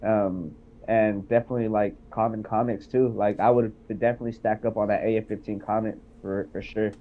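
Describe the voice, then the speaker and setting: A man's voice: on the low side, fairly even intonation, a little fast. Stuffed-up guy on a video call, lots of ums, sounds all phone-tinny. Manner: hesitant, many ums. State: congested from being sick. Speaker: guest. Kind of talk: casual chat on a call.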